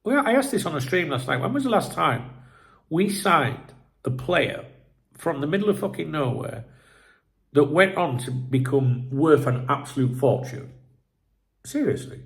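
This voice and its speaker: Gravelly, stuffed-up man. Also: a little high, very animated, unhurried, pauses often. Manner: rambling, frank, swears freely. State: congested, blocked nose. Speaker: football channel host. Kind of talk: casual video commentary.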